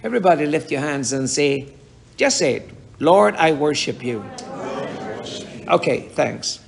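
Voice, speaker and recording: A raspy-voiced middle-aged man delivers a sermon to his congregation. He speaks at an unhurried, measured pace in a slightly high register, with a gravelly edge. He presses his points home with pointed rhetorical questions. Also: lively intonation, a little hiss or hum. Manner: slow, emphatic preaching with rhetorical questions. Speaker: pastor.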